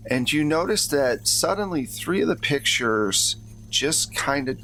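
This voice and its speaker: A man's voice: fairly high pitch, animated, measured pace, sharp tone, soft background music, low-quality recording. A man narrating a tabletop roleplaying game as game master in a casual, conversational way.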